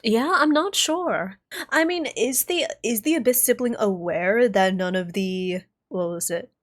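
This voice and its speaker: A young woman streamer reacting live to a game, thinking aloud, excitable, swearing and laughing. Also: fairly high pitch, unhurried.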